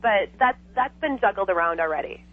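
A woman's voice: medium pitch, animated, fairly quick. A woman guest on a radio talk show, chatting casually over the phone, laughing often and filling her speech with "you know". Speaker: psychic guest. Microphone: telephone. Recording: tinny phone line.

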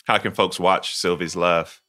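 A man's voice: low voice, fairly even intonation, measured pace, bright, forceful tone. A man hosting a podcast, asking questions in a playful, joking, slangy way. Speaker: podcast host. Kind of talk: casual interview chat.

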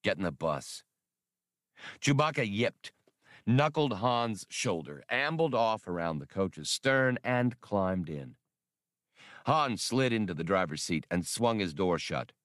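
A man's voice: lowish voice, lively intonation, unhurried, a bit bright, no room sound, clean studio sound. A man reading adventure fiction as a scripted, dramatic audiobook narration. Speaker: audiobook reader.

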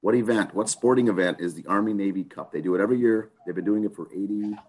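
A man with a hoarse, raspy voice from a chest cold, co-hosting a sports podcast and posing a trivia question. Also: lowish voice, quick pace, darkish tone. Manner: easygoing, poses trivia questions. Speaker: podcast co-host. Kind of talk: casual podcast banter.

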